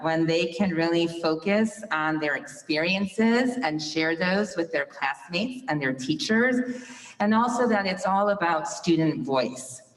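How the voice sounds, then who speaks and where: A woman from a school staff presenting to a school board in an upbeat way, with a loud teacher voice. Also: lowish voice. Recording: echoey hall from far off.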